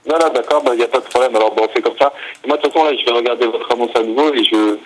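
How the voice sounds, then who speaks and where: A young adult man speaking politely and haltingly on a phone call, apologising and repeating himself as he negotiates a purchase. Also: mid-range voice. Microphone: telephone. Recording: telephone line with faint noise.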